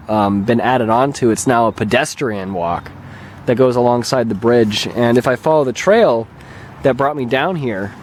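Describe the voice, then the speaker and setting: A man with a mildly hoarse, slightly raspy voice, talking casually and hesitantly as he rambles through a vlog as its host. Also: medium pitch, slight background noise. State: mildly hoarse, recovering throat. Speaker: video channel host and gamer. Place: open lakeshore beach outdoors. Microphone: handheld camera held by the speaker.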